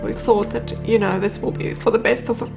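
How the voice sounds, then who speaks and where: A woman's voice: medium pitch, fairly even intonation, moderate pace, creaky. A woman in her thirties speaking as a homeowner in an interview inside a house. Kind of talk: personal interview. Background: music.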